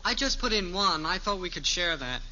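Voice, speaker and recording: Teenage boy, American, very high voice, guileless, easygoing, old radio sitcom, loud recording noise. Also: animated, moderate pace, lo-fi sound. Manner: guileless, boyish. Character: food-loving, naive, easygoing teenage son. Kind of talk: 1950s radio sitcom acting.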